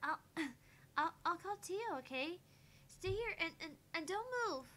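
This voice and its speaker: High-pitched, halting little girl. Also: expressive, pushed and bright, exaggerated voice. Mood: worried, flustered. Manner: halting, stammering. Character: small worried little girl, halting and earnest.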